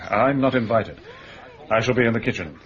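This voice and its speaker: A man's voice: medium pitch, lively intonation, fairly quick, close to an everyday voice. An actor playing a weary, exasperated British policeman with a clipped, dry manner in a radio drama. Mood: dry, businesslike. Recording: dull, lo-fi radio recording.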